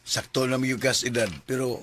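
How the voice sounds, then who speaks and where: A man's voice: medium pitch, little pitch movement, fairly quick, fairly bright tone, a little hiss or hum, natural voice. An actor playing an easygoing male friend who teases his buddy over drinks in a radio drama. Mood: teasing. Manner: teasing, easygoing.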